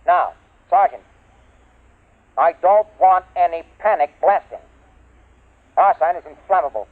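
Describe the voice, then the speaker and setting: Actor as an authoritative, higher-voiced army major. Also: male voice, unhurried, natural voice. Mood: urgent, commanding. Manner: barked instructions. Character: brisk, authoritative army major in protective suit. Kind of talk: 1950s radio drama acting. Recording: muffled, tinny old radio recording.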